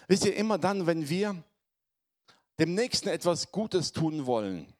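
A man's voice: on the high side, very animated, measured pace. Man, pastor, has a cold, conversational sermon, asks congregation questions.

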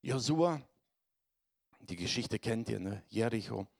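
A man preaching to his congregation, hoarse from a cold, speaking in a conversational way and often checking in with his listeners. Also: on the low side, animated, measured pace. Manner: conversational, addresses congregation with tag questions. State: has a cold, voice affected. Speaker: pastor. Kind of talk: sermon.

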